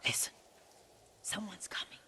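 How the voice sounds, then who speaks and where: An actress playing a gentle, wistful elderly Japanese woman servant in a video game, unhurried and motherly as she fondly scolds. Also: in a whisper. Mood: hushed, matter-of-fact. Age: older. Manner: unhurried, motherly, sometimes forgetful and trailing. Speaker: game voice actor. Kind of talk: dramatic video game acting.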